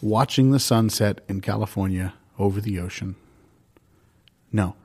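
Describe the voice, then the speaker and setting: A man with a fairly low voice riffs in improvised comedy. He speaks in a halting, musing way and keeps a mock-serious, deadpan face on every idea. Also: slightly rough. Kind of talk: improvised deadpan comic banter.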